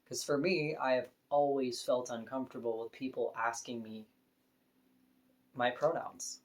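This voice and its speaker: A woman with a deep voice, talking frankly and casually to camera in an opinion vlog, now and then laughing softly. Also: fairly even intonation, unhurried. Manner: frank, conversational, with soft laughs. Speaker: vlogger.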